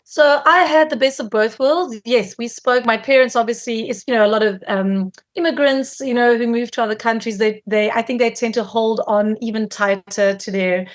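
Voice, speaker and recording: A woman in her forties who grew up in South Africa, talking as a filmmaker guest in a relaxed interview, with lots of fillers and restarts. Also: on the high side, moderate pace, hardly any pauses. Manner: warm, chatty, many fillers and restarts. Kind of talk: conversational interview.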